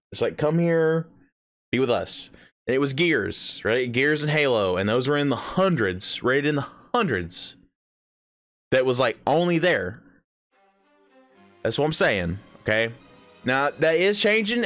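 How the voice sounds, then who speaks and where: A man's voice: a little high, fairly bright tone. A thirty-year-old man giving his opinions in a casual gaming vlog, rambling and emphatic, with restarts, slang and the odd swear word. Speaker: gaming video creator.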